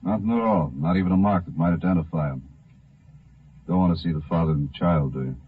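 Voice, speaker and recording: A deep-voiced coroner, low-key and businesslike in a 1950s radio play. The old recording is dull and muffled. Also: male voice, fast talker, breathy edge. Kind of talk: 1950s radio drama acting.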